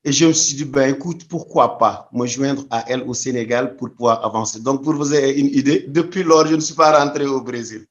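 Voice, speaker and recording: A man's voice: medium pitch, a little fast, hardly any pauses, muffled recording. A man from Senegal answering interview questions thoughtfully, often restarting his phrases. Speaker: guest.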